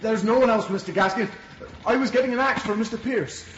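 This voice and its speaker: A man's voice: high voice, a little fast, lightly acted. An actor playing a deferential young male servant accused of murder in a radio drama. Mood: hasty, deferential. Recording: roomy, noisy, muffled radio drama sound.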